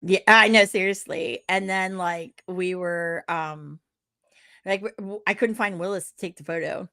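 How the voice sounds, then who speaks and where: A woman with a loud voice telling a casual story, rambling with lots of "like" and acted-out dialogue. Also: fairly low pitch, fairly even intonation, unhurried, clean tone, no room sound, clean studio sound. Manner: rambling, full of "like" and quoted dialogue. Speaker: podcast co-host.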